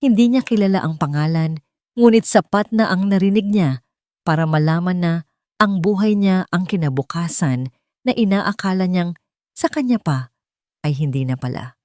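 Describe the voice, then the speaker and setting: A woman's voice: lowish voice, expressive, normal speed, a little mellow, no echo, studio quality. A woman reading a scripted dramatic story as its narrator.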